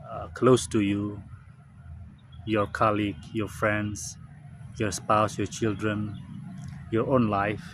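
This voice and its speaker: A man in his forties whose first language is Indonesian, speaking slowly and reflectively with many pauses and fillers, outdoors under a tree. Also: on the low side, fairly bright tone. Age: 40s. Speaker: vlogger. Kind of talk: reflective talk to camera. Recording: clear outdoor background noise. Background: birds, traffic and outdoor ambience.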